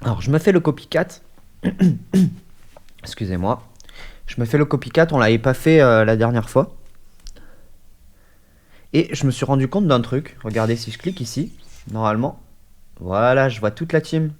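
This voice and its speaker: A man's voice: mid-range voice, animated, normal speed, long pauses, darkish tone. A man with a head cold, chatting casually through a gaming session at his desk mic, hesitant and full of fillers. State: stuffy, has a cold. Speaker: gaming YouTuber. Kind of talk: casual let's-play commentary.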